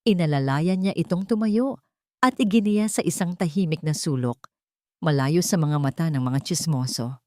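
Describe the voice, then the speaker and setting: Lowish-voiced woman narrating dramatically. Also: normal speed, a little mellow, no room sound, clean studio sound. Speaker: story narrator. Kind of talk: dramatic scripted narration of romance story.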